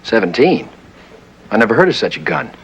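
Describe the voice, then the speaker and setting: An actor playing a cool-headed newspaper publisher, speaking in a clipped, commanding way in a 1960s TV crime drama. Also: male voice, fairly low pitch, very animated, a little fast, creaky, a bit bright, slight background noise, full character voice, rough recording. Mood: decisive. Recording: vintage TV soundtrack, faint music.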